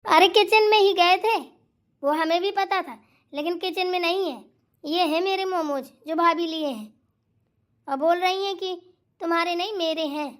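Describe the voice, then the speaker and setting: A woman's voice: extremely high pitch, moderate pace. A woman playing a demanding, quarrelsome young sister-in-law who complains insistently in a family comedy skit. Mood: insistent, aggrieved.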